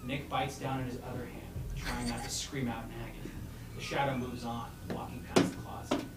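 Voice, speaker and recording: A man narrating stage directions from a comedy screenplay at a live table read, in a halting, informal way. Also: medium pitch, little pitch movement. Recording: roomy, distant pickup with loud room noise.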